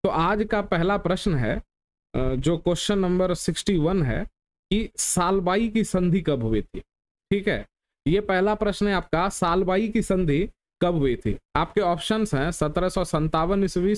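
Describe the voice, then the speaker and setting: A man's voice: sits high, expressive, unhurried. A man teaching an exam question lesson, instructive, repeating key points and asking rhetorical questions of his students.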